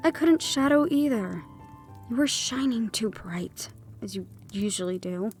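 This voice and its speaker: A woman's voice: a little high, expressive, measured pace, darkish tone, faint music behind. A woman voicing a defiant young female thief in an audio roleplay, teasing and sardonic, sometimes dropping to a whisper.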